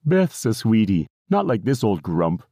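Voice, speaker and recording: A man voicing a brisk, reassuring female care worker in an audiobook. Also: lowish voice, sing-song, mellow, no room sound, studio quality, voice pushed up, heavily acted. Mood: friendly.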